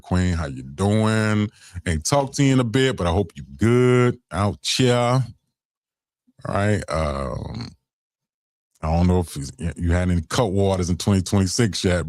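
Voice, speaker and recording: A young man hosts a late-night radio show in a relaxed, chatty way. His voice is very low and gravelly, with a lot of vocal fry. The sound is dry and close, with little room echo. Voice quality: deep voice. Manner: laid-back, conversational, giving shout-outs.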